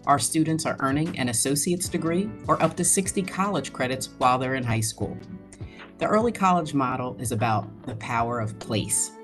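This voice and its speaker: Woman running a school, talking it up in a promo video, deep voice, music playing under her. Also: animated, moderate pace. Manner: proud, upbeat, promotional. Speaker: head of school. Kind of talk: scripted video message. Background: background music.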